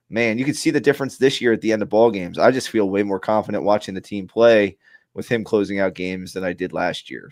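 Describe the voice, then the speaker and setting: A man's voice: lowish voice, a little mellow, no echo, clean studio sound. A man co-hosting a casual sports podcast, talking in a rambling way with restarts. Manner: rambling, many restarts and fillers. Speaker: podcast co-host. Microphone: remote streaming mic.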